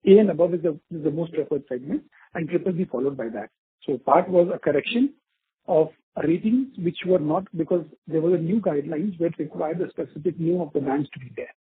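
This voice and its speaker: Very husky man on a phone line. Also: a little high, fairly quick. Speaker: bank executive. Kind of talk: explanatory answer on earnings call. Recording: muffled narrow phone line.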